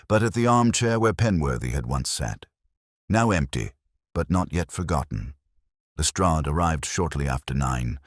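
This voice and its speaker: A man narrating a scripted mystery story in a deliberate, dramatic, literary manner. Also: sits low, no echo, studio quality. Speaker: audiobook narrator.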